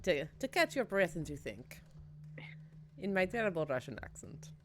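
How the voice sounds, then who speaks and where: A woman's voice: lowish voice, very animated, moderate pace, slight background noise. A woman hosting a tabletop livestream, bubbly and chatty as she handles the ad breaks. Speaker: stream host. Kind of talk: casual livestream chat.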